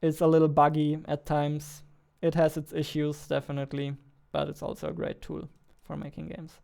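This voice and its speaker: A man's voice: fairly high pitch, monotone, moderate pace, vocal fry, a little mellow. A man, chatting casually as he works on a livestream into a close studio mic. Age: 20s. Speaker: indie game developer streaming his work. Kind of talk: casual livestream chat while working. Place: small indoor home studio. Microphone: close studio mic on boom arm.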